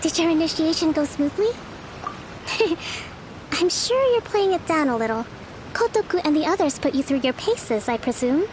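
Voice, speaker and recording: A woman's voice: sits high, expressive, normal speed, noisy background. A woman playing a courteous, thoughtful young military leader in an anime video game, with polite, formal phrasing. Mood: gently approving. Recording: voice over game music.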